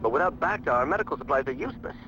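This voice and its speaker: A man playing an earnest, steady young rebel squadron leader in a video game cutscene, giving urgent military orders. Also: medium pitch, very fast. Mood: earnest briefing. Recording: muffled lo-fi game audio, music behind.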